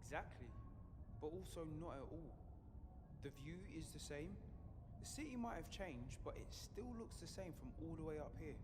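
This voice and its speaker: An actor playing a brooding, conscience-stricken rebel radio broadcaster in an audio drama, intense and reflective. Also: male voice, on the high side, expressive, normal speed, long reverb tail, background noise, lightly acted. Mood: reflective, subdued. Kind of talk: fiction podcast audio drama acting.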